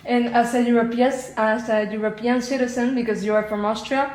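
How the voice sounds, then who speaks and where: Higher-pitched woman interviewer, echoey. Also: little pitch movement, a little fast, darkish tone. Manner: frequent short backchannel agreement. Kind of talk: interview questions. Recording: echoey room.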